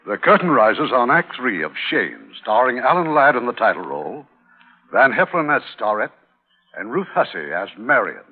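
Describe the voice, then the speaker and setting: A male 1950s radio announcer narrates from a script in a husky, animated voice. The old broadcast is thin and narrow, with faint music. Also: medium pitch, normal speed.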